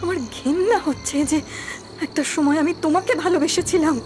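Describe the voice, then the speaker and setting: A woman acting a high-voiced, anxious young wife. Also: steady tone, a little fast, over music. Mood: disgusted, defiant. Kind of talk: melodramatic cartoon horror-story acting.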